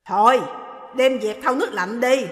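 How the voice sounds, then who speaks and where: A woman's voice: fairly high pitch, moderate pace, natural voice. Woman playing a stern older mother-in-law. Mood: sternly coaxing. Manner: sharp, loud, reproachful. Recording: roomy with long reverb.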